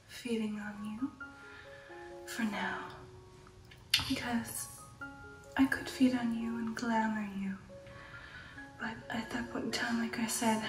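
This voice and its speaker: A woman plays a refined lady vampire in an ASMR roleplay, whispering slowly and intimately in a breathy, fairly high voice. Her speech is genteel and affectionate. The voice sits a little way from the mic, with music underneath. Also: recorded from a distance, poor audio. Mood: wistful, predatory. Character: refined, affectionate female vampire recounting an old shipboard romance. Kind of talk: ASMR roleplay, personal attention.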